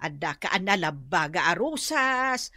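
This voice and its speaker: An actress playing a chatty, curious, easily startled older neighbour in a comedic radio drama, full of drawn-out exclamations. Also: female voice, fairly low pitch, big pitch swings, pushed and bright, dry recording, studio quality, heavily acted.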